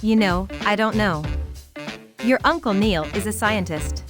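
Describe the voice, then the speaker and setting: Casting: woman as a practical picture-book mother, mid-pitched, coaxing her child along matter-of-factly, music underneath. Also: measured pace, lightly acted. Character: young boy's practical, coaxing mom.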